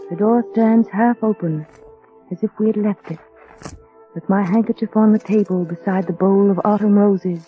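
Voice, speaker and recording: Wistful young female storyteller acted by a woman, measured and hesitant, thin phone-like 1930s broadcast, faint music. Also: mid-range voice, close to an everyday voice. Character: timid, wistful young woman narrator, lady's companion. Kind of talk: 1930s radio drama narration.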